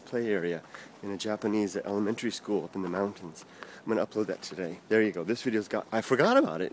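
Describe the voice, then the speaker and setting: A man from the United States rambling through viewer comments in a casual vlog, halting with many fillers and restarts. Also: medium pitch, slight background noise. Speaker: vlogger answering viewer comments.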